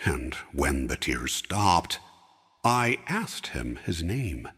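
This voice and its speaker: A man playing an aging, grief-bent king who slowly recalls a lost friend in a fan audio drama. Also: a bass voice, sing-song, fairly bright tone, husky, in a large hall, full character voice. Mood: grieving. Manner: slow, heavy reminiscing. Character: grieving aging king, stern yet sorrowful.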